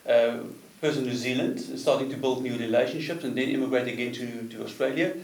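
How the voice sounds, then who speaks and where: A man, a business consultant, talking earnestly about his work, phrasing slightly halting. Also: mid-range voice, recorded from a distance. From South Africa. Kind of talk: casual interview chat. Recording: echoey room, off mic.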